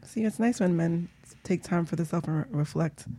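A woman's voice: lowish voice, normal speed, mellow. A woman hosting a casual talk show, chatting in a playful, teasing way. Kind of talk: casual chat.

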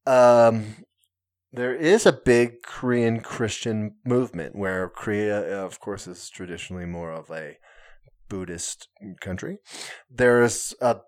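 Slow, low-voiced man, halting and casual. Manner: hesitant, many fillers and restarts; self-mocking humor. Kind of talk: casual conversation.

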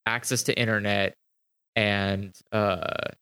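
A man's voice: lowish voice, little pitch movement, slow, bright, forceful tone, smooth. A man chatting casually with friends, joking and exaggerating his complaints. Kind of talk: casual group chat.

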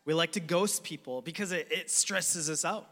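Bright-voiced man preaching in a reverberant hall. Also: fairly high pitch, moderate pace. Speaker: pastor. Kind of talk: sermon.

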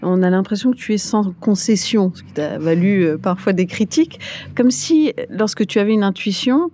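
A woman's voice: medium pitch, expressive, normal speed, dark tone, slight background noise. A woman hosting a podcast interview, asking conversational, probing questions.

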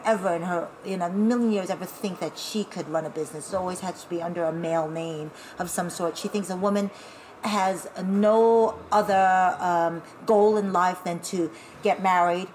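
A woman from Taiwan who grew up speaking Taiwanese, talking as a guest in a casual interview, animated and chatty with laughing asides. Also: fairly low pitch, normal speed, slight background noise, low-quality recording. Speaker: guest, burlesque performer.

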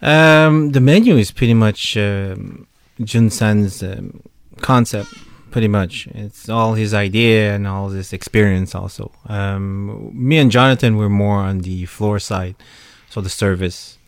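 A man's voice: lowish voice, a little slow, vocal fry, clean tone, no echo, clean studio sound. A man, co-owner of a restaurant, answering questions on radio in a hesitant way with many fillers. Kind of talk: radio interview guest.

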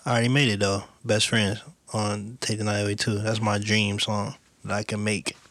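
A man with a deep voice in relaxed casual chat, drawling in slang and saying bro a lot. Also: moderate pace, no room sound.

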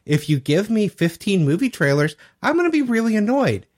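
A man's voice: sits high, sing-song, a little fast, darkish tone. A man co-hosting a podcast, talking in a casual, conversational way. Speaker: podcast co-host. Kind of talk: casual discussion.

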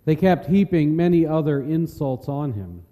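A man narrating scripture in a measured, solemn way as part of a dramatic reading. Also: a little high, animated, dark tone. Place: large reverberant church. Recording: long church hall reverb.

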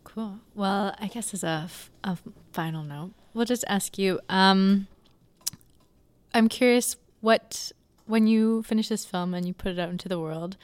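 A woman's voice: mid-range voice, measured pace. A woman hosting an interview, asking friendly, curious questions. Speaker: interview host.

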